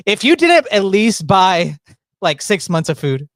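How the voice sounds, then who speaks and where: Man, podcast host, casual rambling chat, fairly high voice, dry close sound. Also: big pitch swings, a little slow, fairly bright tone, no echo. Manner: rambling, self-interrupting, jokey.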